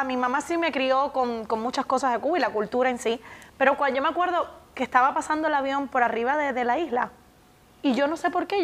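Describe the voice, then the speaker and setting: A woman's voice: high voice, fairly bright tone. A woman in her twenties co-hosting a studio talk show, speaking earnestly and with emotion, on a lapel mic. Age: 20s. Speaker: co-host. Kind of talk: casual chat. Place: TV studio set.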